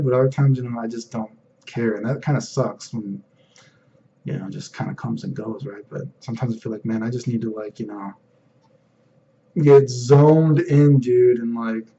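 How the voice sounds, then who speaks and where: A young man with a deep voice, rambling casually in a personal vlog, full of fillers and "you know what I mean.". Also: fairly even intonation, moderate pace, mellow, dull audio. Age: young adult. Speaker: vlogger.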